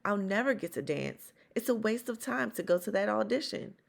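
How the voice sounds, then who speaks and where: A woman's voice: medium pitch, animated, normal speed, vocal fry. A woman voicing a sassy, tall young girl dancer who talks back in a storybook read-aloud. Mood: dejected.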